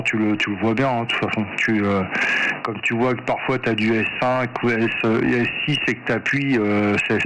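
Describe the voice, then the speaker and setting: A man's voice: lowish voice, gravelly. Man on noisy radio. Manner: rambling, repetitive, informal. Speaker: amateur radio operator. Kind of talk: casual radio contact chat. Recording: noisy radio transmission with clicks.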